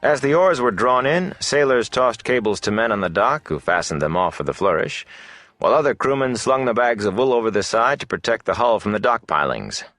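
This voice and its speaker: A man narrating a fantasy novel as an audiobook, in a measured, dramatic storytelling manner. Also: medium pitch, expressive, fairly bright tone, no echo, faint music behind.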